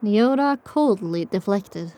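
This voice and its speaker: A woman's voice: medium pitch, lively intonation, slow pace, dark tone. A woman playing a reflective pony survivor, narrating her own story in a dramatic audiobook style. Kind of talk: dramatic first-person scripted narration.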